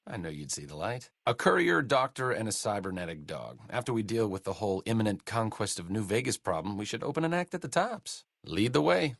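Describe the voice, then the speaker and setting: Sardonic middle-aged male doctor for a video game; lowish, lively pitch, dry close studio read. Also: fairly quick, gravelly, hardly any pauses, no room sound, exaggerated voice. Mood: wry. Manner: dry, sarcastic wit. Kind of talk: video game companion voice lines. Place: studio booth. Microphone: close studio mic.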